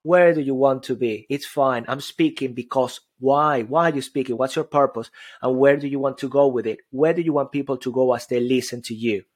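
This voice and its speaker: Energetic Venezuelan-accented man giving speaking tips. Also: mid-range voice, fairly quick. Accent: Venezuelan Spanish. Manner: energetic, emphatic, stacks repeated phrases. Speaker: podcast co-host. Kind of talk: motivational speaking tips on a live audio show.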